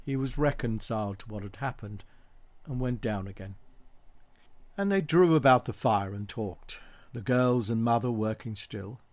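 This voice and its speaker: A man's voice: mid-range voice, normal speed, a little mellow, dry recording. Dry-sounding man narrating a classic story. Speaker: audiobook narrator. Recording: dry home recording with music behind.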